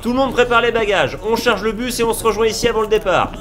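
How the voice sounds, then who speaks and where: A man's voice: sits high, quick pace, crowd noise, close to an everyday voice. A man acting an exasperated leader of a group of colleagues in a French audio drama. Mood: brisk.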